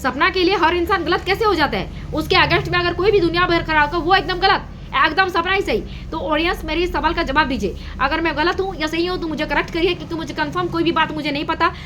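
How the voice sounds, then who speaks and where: A woman's voice: very high-pitched, quick pace, sharp tone, slight background noise. A woman giving casual gossip commentary to her audience, with emphatic, repetitive phrasing. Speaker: reaction video host.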